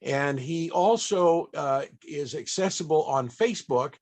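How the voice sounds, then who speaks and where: Older guy with a higher voice, hosting an interview panel, kinda halting, chuckles a lot. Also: lively intonation, darkish tone. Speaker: panel host.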